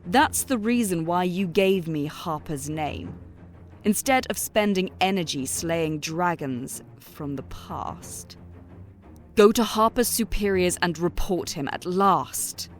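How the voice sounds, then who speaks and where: A woman's voice: on the low side, expressive, soft background music. Woman acting as a firm, reproachful conscience figure. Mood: urging. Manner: insistent, reproachful, measured. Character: firm, moralising female character confronting her author. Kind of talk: rhyming scripted audio drama.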